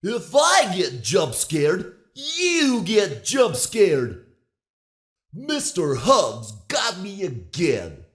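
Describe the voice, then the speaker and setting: An actor playing a resentful sore loser of a toy animatronic in a video game, petulant and complaining in an exaggerated way. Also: male voice, high voice, wildly swooping pitch, very slow, full character voice. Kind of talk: comic video game character lines.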